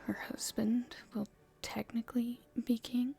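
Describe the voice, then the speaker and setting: A woman playing an earnest, hopeful young princess in a soft, halting, breathy whisper for an ASMR roleplay. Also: a little high, flat delivery. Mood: thoughtful, hopeful. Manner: halting, soft-spoken, thinking aloud.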